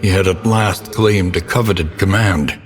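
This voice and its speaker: Grim game storyteller: very low, unhurried, brooding and theatrical, delivering dark gothic narration. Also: male voice. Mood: grim, ominous. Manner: solemn, theatrical, ornate phrasing. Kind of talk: dramatic scripted gothic narration. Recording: long reverberant tail, clear background noise.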